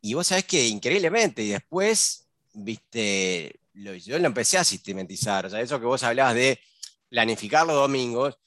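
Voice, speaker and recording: A man's voice: medium pitch, sing-song, normal speed, fairly bright tone, dry recording, studio quality. A man from Uruguay with a Uruguayan accent, a business consultant chatting casually as a guest and peppering his talk with fillers and tag questions. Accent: Uruguayan Spanish. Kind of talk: casual conversational interview.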